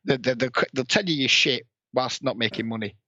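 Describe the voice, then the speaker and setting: A man with a northern English accent and a raspy voice, a blunt, heavily swearing guest in a casual podcast interview who sniffs and coughs. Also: medium pitch, a little fast, bright, forceful tone, dry recording. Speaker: poker player and coach, guest. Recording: dull lo-fi, dry sound.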